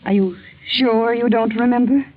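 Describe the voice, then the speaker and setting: A woman's voice: a little high, fairly even intonation, normal speed, close to an everyday voice. Actress as earnest, anxious young woman, muffled vintage radio. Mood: earnest, probing. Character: anxious, insistent young daughter of a businessman. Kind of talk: 1940s radio drama acting. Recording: muffled 1940s radio recording.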